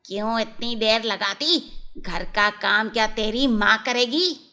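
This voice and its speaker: A woman's voice: on the high side, bright, forceful tone, heavily acted. A woman voicing a harsh, angry aunt who scolds in a children's story. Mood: angry, scolding. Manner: scolding, snapping, drawn-out words.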